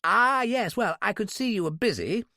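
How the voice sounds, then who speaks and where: A man's voice: high voice, expressive, moderate pace, sharp tone, no echo, studio quality, pitched above the performer's own voice, heavily acted. A man voicing a fussy, over-polite English private secretary with an exaggerated upper-class accent, in an audiobook. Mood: airy, hesitant. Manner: pompous, airy, over-polite. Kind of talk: audiobook character voice.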